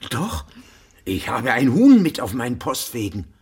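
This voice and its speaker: Dazed, stubborn postman, animated pitch. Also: measured pace, husky, exaggerated voice. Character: injured, dazed, stubborn male postman, protesting. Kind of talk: German radio drama acting.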